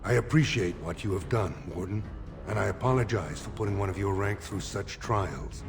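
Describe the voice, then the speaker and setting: An actor playing a dignified, earnest older lord in a fantasy game, courtly, measured and solemn. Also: male voice, on the low side, creaky. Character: dignified elder lord seeking a throne, earnest. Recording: faint game music behind.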